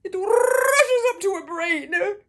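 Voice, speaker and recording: A woman reading a children's picture book aloud in a theatrical, exaggerated way, with a breathy voice and dramatic shifts in delivery. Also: extremely high pitch, lively intonation, slow. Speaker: children's storyteller and read-aloud host.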